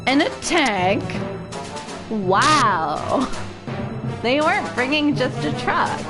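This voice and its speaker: A woman's voice: high-pitched, sing-song, very slow, over music. A woman narrating a visual novel let's play as a theatrical dramatic reading.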